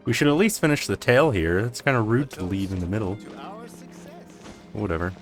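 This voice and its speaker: A soft-spoken game streamer giving casual, musing commentary in a quiet voice. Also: medium pitch, animated, a little fast. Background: faint game music.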